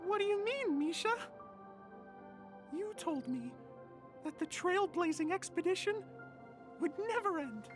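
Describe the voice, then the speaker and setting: A woman's voice: extremely high pitch, expressive, unhurried, pauses often. A woman voicing an innocent, childlike clock creature, a loyal and earnest companion in a video game. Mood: confused, worried. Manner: naive, questioning, earnest. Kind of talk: cartoonish video game voice acting. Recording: game music behind the voice.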